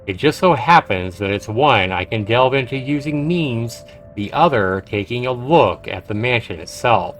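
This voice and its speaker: A man reading a visual novel aloud on a live game stream, with some halting pauses and stumbles. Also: medium pitch, expressive, fairly bright tone. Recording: game music behind. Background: video game music.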